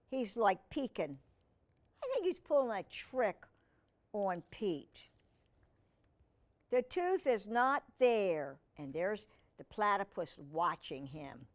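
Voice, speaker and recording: A woman reading a picture book aloud to young children, speaking expressively and putting questions to the listener. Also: medium pitch. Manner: expressive, asks the listener questions, simple child-directed wording. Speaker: children's storyteller and humane educator.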